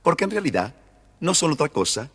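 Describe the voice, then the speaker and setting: A man's voice: on the high side, very animated, fairly quick, vocal fry, fairly bright tone, breathy edge. A man narrating a children's story playfully, addressing young listeners as storyteller.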